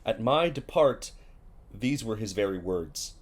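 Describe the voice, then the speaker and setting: A man's voice: lowish voice, expressive, unhurried. A man acting a dutiful royal messenger who reports formally and carefully in a Shakespearean audio drama. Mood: formal, reporting.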